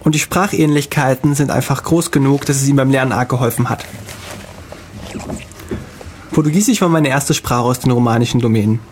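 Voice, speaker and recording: A man co-hosting a casual podcast, telling travel stories in a halting way with many fillers. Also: medium pitch, a little mellow, a little hiss or hum. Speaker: podcast co-host. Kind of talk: casual chat.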